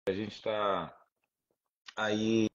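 A middle-aged man, a former footballer, talking in a relaxed, easy way on a video call and dropping many little filler tags. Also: fairly low pitch, drawn-out words. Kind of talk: casual video-call chat. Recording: dull lo-fi video-call audio.

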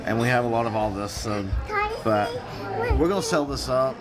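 A man's voice: medium pitch, lively intonation, normal speed. A man in his thirties reviewing a restaurant in casual, rambling, emphatic vlog talk, filmed on a handheld camera in a restaurant booth. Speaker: food vlogger. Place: busy restaurant booth indoors. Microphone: handheld camera at arm's length. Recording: loud restaurant music and crowd. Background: loud music and crowd chatter.

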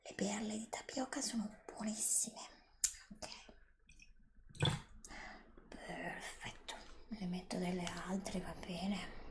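A middle-aged woman in a whispered ASMR roleplay, speaking as a polite, attentive bar server who softly repeats herself. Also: mid-range voice, steady tone, slow pace, pauses often. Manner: polite, attentive shop-server patter, soothing repetition. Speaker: ASMR performer playing a bubble tea bar server.

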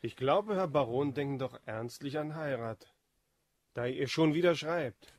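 An actor playing an insinuating, obsequious servant to a baron in a German radio drama. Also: male voice, mid-range voice, a little slow, darkish tone, no room sound, natural voice. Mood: knowing, obliging.

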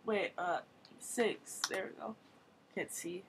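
A woman giving casual commentary on her own gameplay, thinking aloud with quick exclamations, at a desk mic at her computer. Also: medium pitch, noisy background. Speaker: gamer recording her play.

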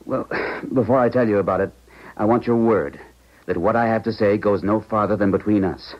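Man acting a distraught, lowish-voiced widowed professor. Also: natural voice. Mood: tense, urgent. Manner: agitated, halting, intense. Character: distraught male professor, grieving widower, insistent. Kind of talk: 1970s radio drama acting. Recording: muffled 1970s radio recording.

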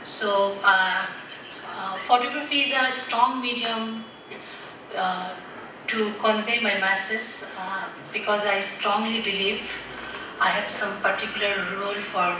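A woman speaking on a panel as a photographer, halting between phrases. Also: medium pitch, steady tone. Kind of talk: unscripted panel talk. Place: dim auditorium with seated audience. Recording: echoey noisy hall, far from mic.

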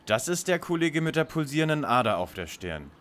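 An actor playing a polite, precise young lead detective in a parody detective radio play. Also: male voice, fairly high pitch, big pitch swings, fairly quick, a bit bright. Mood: courteous. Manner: formal, courteous, precise.

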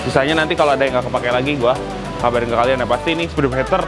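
A man's voice: mid-range voice, rapid-fire, music in the background. A young man chatting casually as a vlog host, slangy and grumbling in an exasperated way. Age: young adult. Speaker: motovlog host. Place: open concrete garage forecourt by a workshop.

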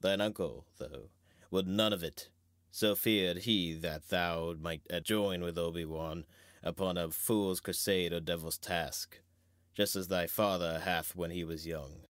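A man playing a wise, grave old knight and mentor in a measured, theatrical Shakespearean reading. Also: low voice, fairly even intonation, fairly bright tone, no room sound, studio quality. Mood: solemn, instructive.

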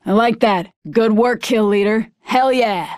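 A woman's voice: on the high side, unhurried, darkish tone, no room sound, studio quality, natural voice. A woman playing a confident, gung-ho soldier in a video game.

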